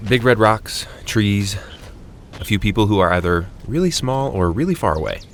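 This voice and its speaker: A man's voice: on the low side, expressive, moderate pace, slight background noise. A man playing a weary, exasperated hiker who guides his friend with dry, grudging remarks in an audio comedy drama. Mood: dry, uneasy.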